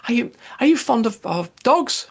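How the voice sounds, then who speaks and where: Man voicing a flustered, polite little girl, lifted pitch. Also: big pitch swings, moderate pace, vocal fry, husky, exaggerated voice. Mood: flustered, apologetic. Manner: earnest, apologetic, rambling.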